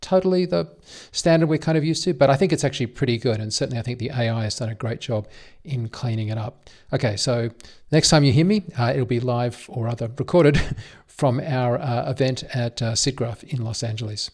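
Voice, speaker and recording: A man's voice: medium pitch, fairly quick, a little mellow. A man with an Australian accent, hosting a podcast and casually introducing a recorded panel, with frequent fillers. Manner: hesitant, many fillers. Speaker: podcast host.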